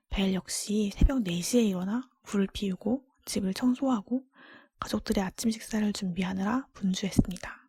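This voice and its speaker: Female ASMR storybook reader, fully whispered and airy, mellow tone, gentle measured delivery, intimate dry close-mic sound. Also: medium pitch, no echo. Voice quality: breathy, unvoiced whisper. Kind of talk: whispered ASMR fairy-tale reading. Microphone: close microphone, dry.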